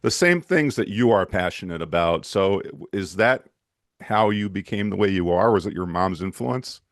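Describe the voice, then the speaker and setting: A man's voice: deep voice, lively intonation, normal speed, no echo, clean studio sound. A man hosting an interview show, asking questions in a friendly, hesitant way with frequent restarts. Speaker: talk show host. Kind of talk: conversational interview.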